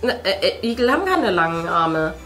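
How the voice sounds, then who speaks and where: A woman's voice: fairly low pitch, very animated, fairly quick, a bit bright, soft background music. A middle-aged woman, chatting casually as she paints indoors. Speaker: host, mother. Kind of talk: casual chat while painting. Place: indoor room at a craft table.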